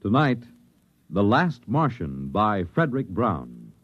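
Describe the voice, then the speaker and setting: A man's voice: fairly low pitch, huge pitch swings, slow pace, breathy edge. A man announcing on 1950s radio, reading scripted copy in a polished, showmanlike way. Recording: muffled old radio broadcast. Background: music.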